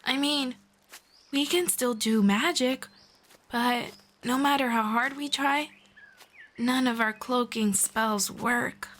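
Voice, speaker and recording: A woman playing a friendly, knowledgeable elf in a scripted roleplay, speaking to the listener in a formal, measured, gently explanatory way. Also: a little high, fairly even intonation, slight background noise. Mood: thoughtful, explanatory. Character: friendly, knowledgeable female elf guiding a human. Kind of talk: scripted roleplay audio, one-sided conversation with listener.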